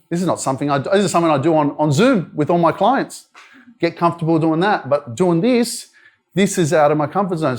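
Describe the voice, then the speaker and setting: Upbeat Australian man addressing a crowd. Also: a little high. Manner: casual, direct, chatty with the crowd, swears now and then. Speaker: motivational speaker. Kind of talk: live motivational talk to an audience. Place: indoor live event at a wellness centre.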